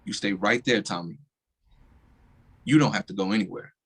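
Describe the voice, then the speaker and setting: An actor playing a shaken young police officer who speaks low and tersely in a staged play reading. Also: male voice, normal speed, breathy edge. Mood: subdued.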